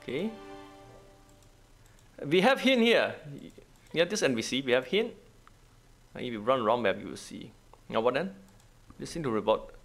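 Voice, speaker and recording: A man who is not a native English speaker, giving a casual gameplay walkthrough in halting, repetitive short phrases. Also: medium pitch, very animated, soft background music. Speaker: gaming guide host.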